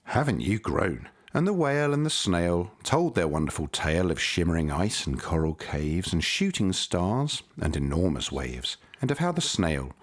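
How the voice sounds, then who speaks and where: Deep, highly expressive storybook reader, male. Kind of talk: expressive children's storybook reading.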